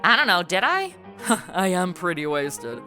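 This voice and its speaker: A brash, snarky American party girl played by a woman with a bright, very animated voice. She banters and narrates boozily in a comedy audio drama, music faintly behind. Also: lowish voice, unhurried, heavily acted. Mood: tipsy, snarky. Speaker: lead of the show.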